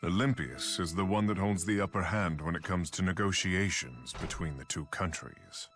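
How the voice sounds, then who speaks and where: A man plays a dignified king from a video game. His voice is deep and his delivery serious, measured and guarded. Music sounds clearly behind him. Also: lightly acted. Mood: grave. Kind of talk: video game cutscene voice acting. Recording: music under the voice.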